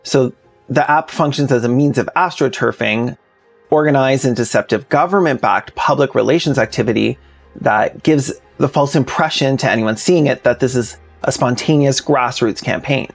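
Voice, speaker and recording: A man's voice: medium pitch, moderate pace, vocal fry, soft background music. A man narrating a scripted commentary video in a plainspoken, informal way with sardonic asides. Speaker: video essayist, host.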